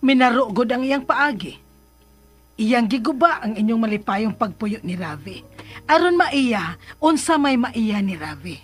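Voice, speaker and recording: A woman playing a caring, coaxing middle-aged mother who reassures gently in a radio drama. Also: fairly high pitch, animated, unhurried, husky, soft background music. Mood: reassuring.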